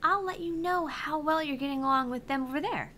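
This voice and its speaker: A woman's voice: high-pitched, brisk, pushed and bright, slight background noise, pitched above the performer's own voice. A woman voicing a perky, reassuring female app assistant, reading game dialogue in a chirpy, encouraging, playful manner. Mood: chipper. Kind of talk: reading visual novel game dialogue aloud.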